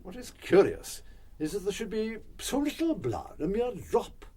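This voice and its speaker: Pompous, theatrical, high-voiced gentleman detective. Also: huge pitch swings, slight background noise. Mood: musing, self-assured. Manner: pompous, deliberate, musing aloud with emphatic pronouncements. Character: eccentric, self-assured older male gentleman detective, theatrically deducing. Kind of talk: full-cast radio drama acting.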